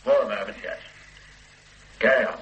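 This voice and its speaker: A man's voice: fairly low pitch, normal speed, a bit bright, dull audio, lightly acted. A man playing a brusque police chief. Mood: firm. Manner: lecturing, brusque, mocking. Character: stern middle-aged male police superintendent boss. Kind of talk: 1980s radio drama acting.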